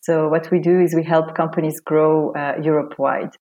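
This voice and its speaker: A woman's voice: low-pitched, flat delivery, moderate pace, mellow, muffled recording. A woman raised in Belgium, a guest on a podcast, talking in a friendly, chatty way with many fillers. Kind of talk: casual interview chat.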